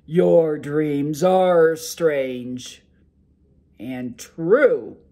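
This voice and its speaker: A woman voicing a drowsy, irritable male ogre who talks loudly and grumbles. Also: low voice, very slow, full character voice. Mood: grumbling. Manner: loud, grumbling, yawning.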